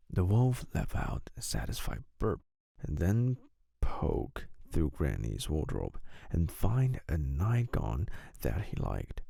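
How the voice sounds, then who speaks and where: Bedtime story narrator, man: low-pitched with some creak, deliberate, very animated delivery. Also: no echo, clean studio sound.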